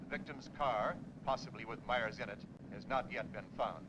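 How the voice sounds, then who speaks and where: An actor playing a formal newscaster reading a 1950s radio bulletin, heard through a car radio. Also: male voice, medium pitch, moderate pace. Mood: formal, matter-of-fact. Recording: dull car radio sound, loud engine noise.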